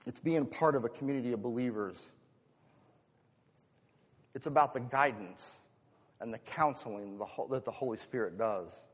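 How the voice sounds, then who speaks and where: A man's voice: mid-range voice, moderate pace, pauses often, slightly rough. An American man preaching a sermon as a pastor, moving between rhetorical questions, conversational asides and emphatic swells. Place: large reverberant church hall. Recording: long hall reverb.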